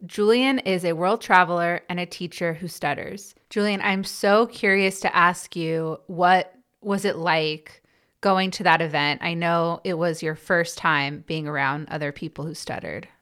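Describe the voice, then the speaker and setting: Low-voiced woman podcast host who stutters. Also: fairly even intonation, unhurried, fairly bright tone, clean tone. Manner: stutters, with repeated sounds and blocks. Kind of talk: podcast interview.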